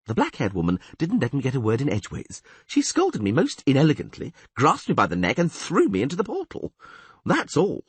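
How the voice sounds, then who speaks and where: A man's voice: medium pitch, huge pitch swings, fairly quick, breathy edge, no room sound, voice pushed up. A man voicing a flamboyant, dramatic male bard in a fantasy audiobook. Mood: indignant. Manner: theatrical.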